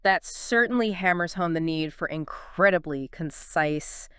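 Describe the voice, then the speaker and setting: Woman, podcast host, lowish voice, clean and resonant, close dry sound, conversational explainer delivery. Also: a little slow, a bit bright, smooth, no echo.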